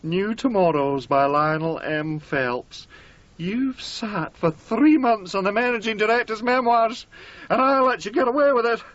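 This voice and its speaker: An actor playing a gloating, officious office rival in a radio drama, sneering and insistent. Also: male voice, sits high, a little slow, a bit bright, dry recording. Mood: demanding. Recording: dull 1980s radio broadcast.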